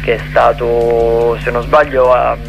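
A man's voice: mid-range voice, little pitch movement, takes it slowly, vocal fry, music in the background. A man co-hosting a radio show, giving casual event listings in a rambling, hesitant way. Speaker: radio co-host.

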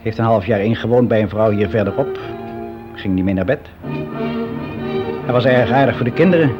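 Low-voiced weary older office clerk played by a man. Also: music in the background, natural voice. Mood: confiding. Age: 60s. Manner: reflective, halting, confiding, with repeated phrases. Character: weary middle-aged male office clerk, confiding, resigned, educated. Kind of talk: naturalistic radio drama monologue. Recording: dull, noisy radio play recording.

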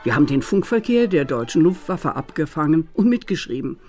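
A woman's voice: deep voice, big pitch swings, fairly quick, darkish tone, soft background music. An actress playing an elderly British grandmother in a radio drama, a reticent former wartime intelligence worker reminiscing hesitantly. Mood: secretive, reminiscing. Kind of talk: radio drama dialogue and narration.

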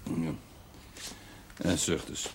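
Brusque, wry village doctor. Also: male voice, extremely slow. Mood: brusque, businesslike. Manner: brusque, dry, teasing. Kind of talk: television period drama dialogue. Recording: faintly noisy old TV soundtrack.

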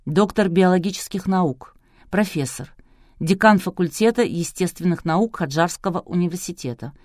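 A woman voicing a quietly confident, resolute man in an audiobook, measured, firm and grave. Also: lowish voice, dry recording, clean studio sound, lightly acted.

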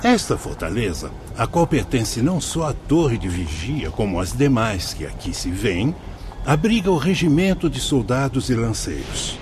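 A Brazilian man playing an earnest, kindly spirit guide in a radio drama, speaking in a formal, measured, instructive way. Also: medium pitch, sing-song, slight background noise, natural voice. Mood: solemn, instructive. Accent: Brazilian Portuguese.

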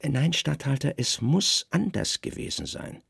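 A man playing a grave, calculating Roman secret service chief who speaks calmly, deliberately and deadpan in a literary radio drama. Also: on the high side, gravelly, breathy edge, no room sound, clean studio sound, pitched above the performer's own voice, heavily acted. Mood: calm, reasoning.

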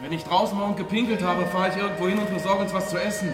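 A male actor plays a restless, blunt heist man in a radio thriller. He talks fast in a fairly high voice, ringing in a big reverberant space. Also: steady tone, music in the background, natural voice. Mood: blunt, practical. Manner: brisk, blunt, colloquial. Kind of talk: German radio crime drama acting. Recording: long reverb of a hall.

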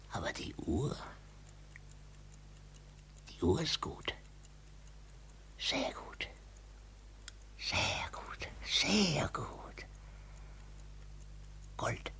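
An actor playing a sly, greedy old ringleader of thieves, wheedling and murmuring in a 1950s German radio drama. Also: male voice, mid-range voice, wildly swooping pitch, bright, forceful tone, whispered, full character voice. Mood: greedy muttering. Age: older. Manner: wheedling, murmuring, repeats phrases slowly. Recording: noisy 1950s radio recording.

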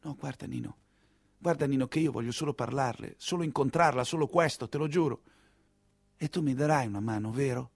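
Un attore che interpreta un giovane nobile innamorato, ingenuo e insistente, con tono supplichevole da teatro. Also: male voice, medium pitch, brisk, creaky, husky, no room sound. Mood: pleading. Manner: pleading, insistent. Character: young nobleman Enrico, impulsive, smitten, naively insistent. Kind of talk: theatrical dialogue within a monologue.

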